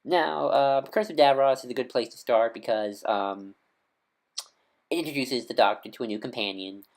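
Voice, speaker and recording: A man's voice: medium pitch, moderate pace, a little mellow. A man talking casually as a video host, an enthusiastic fan who rambles with lots of ums and corrects himself mid-sentence.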